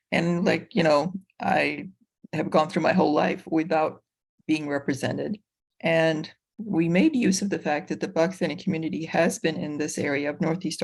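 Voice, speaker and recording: A middle-aged woman explaining her community project on a webinar panel, in a measured, halting way with pauses. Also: low voice, creaky, a little mellow, slightly rough. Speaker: community garden project leader.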